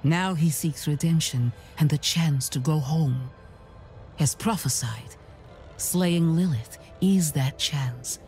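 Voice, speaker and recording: A woman playing a stern, zealous high priestess in a game cutscene, with a solemn, commanding and reverent delivery. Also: takes it slowly, darkish tone, faint music behind. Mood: grave. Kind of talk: dramatic video game cutscene acting.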